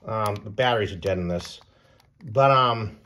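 A man giving a casual, unscripted explainer as a hobbyist presenter, halting, repeating himself and trailing off. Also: fairly low pitch, fairly bright tone. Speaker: presenter of a radio hobby video.

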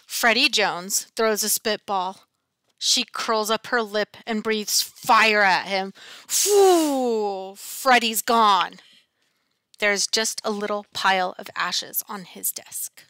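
A middle-aged woman teacher reading a picture book aloud in an expressive, dramatic, deliberate storytelling style, close on a headset mic. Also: mid-range voice, no echo. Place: small classroom, indoors.

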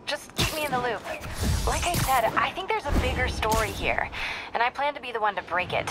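A woman's voice: a little high, animated, brisk, loud music behind the voice, natural voice. A woman voicing a driven young reporter on the phone. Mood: determined.

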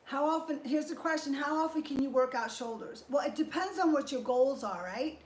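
A woman's voice: sits high, a little fast. A middle-aged woman hosting a casual fitness livestream from her garage home gym, upbeat as she thanks viewers and explains her training step by step. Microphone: fixed camera at a few feet. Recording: echoey garage room.

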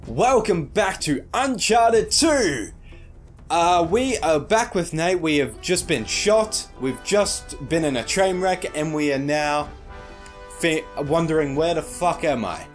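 A man commentating a game he plays, excitable and casual, his voice slightly croaky in the morning. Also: on the high side, slow pace, soft background music. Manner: excitable, swears, shrieks at small things. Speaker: gaming YouTuber. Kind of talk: casual let's play commentary.

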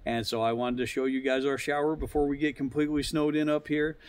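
A man's voice: on the high side, level tone, fast, a little mellow, no echo. A middle-aged man talking casually and plainly to viewers as an outdoor vlogger, explaining things in an easygoing way. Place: outdoors in snowy woods.

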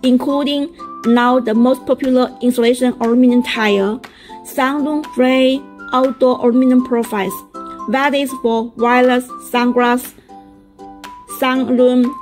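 Female factory presenter, Chinese accent, fairly high voice, halting scripted delivery over music. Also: level tone. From China. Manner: halting reading, stumbling over and repeating phrases. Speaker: factory representative presenting products. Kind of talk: scripted promotional narration.

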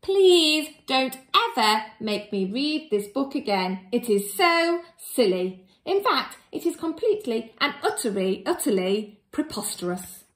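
A woman's voice: high voice, sing-song, a little slow, hardly any pauses, poor audio. A woman playing an indignant, pleading grown-up forced to read a silly storybook aloud. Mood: pleading, exasperated. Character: exasperated grown-up reader forced to say silly things. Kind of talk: expressive picture-book read-aloud.

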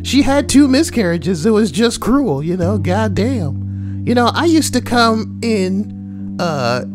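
A woman's voice: medium pitch, sing-song, slow, music in the background. A woman reading forum posts aloud and adding crude, sweary, joking commentary. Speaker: online narrator and commentator.

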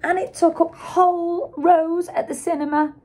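A middle-aged woman reads a children's picture book aloud like a teacher, with dramatic emphasis and pauses for young listeners. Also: very high-pitched, steady tone, darkish tone. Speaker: schoolteacher reading aloud.

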